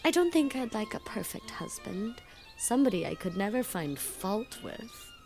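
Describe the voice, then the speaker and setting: A woman's voice: a little high, very animated, moderate pace, a little mellow, faint music behind. A woman playing a giddy, flighty eighteen-year-old girl. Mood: chatty. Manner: gushing, chattering, playfully dramatic. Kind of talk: audiobook dramatization.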